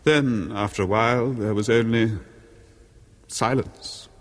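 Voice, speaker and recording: An actor in a 1970s horror radio drama playing a jealous husband, haunted and brooding, telling his murderous story in a halting, theatrical way. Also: medium pitch, steady tone, natural voice. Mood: uneasy, halting. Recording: long cavernous reverb on voice.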